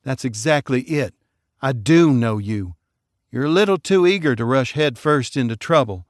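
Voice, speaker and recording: A man voicing a level-headed female police officer with a brisk, steady delivery. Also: mid-range voice, no echo, studio quality, close to an everyday voice. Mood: wry.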